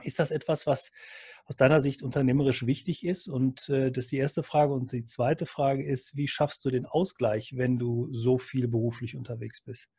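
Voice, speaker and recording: Husky-voiced man hosting a podcast. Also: mid-range voice, fairly even intonation, normal speed, dark tone. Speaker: podcast host. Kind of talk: conversational interview questions.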